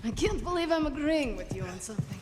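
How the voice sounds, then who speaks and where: An actress playing a cold, proud Soviet sniper in a war game cutscene, with a dry, threatening manner. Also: female voice, high voice, sing-song, fast. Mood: grudging. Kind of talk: cinematic video game acting.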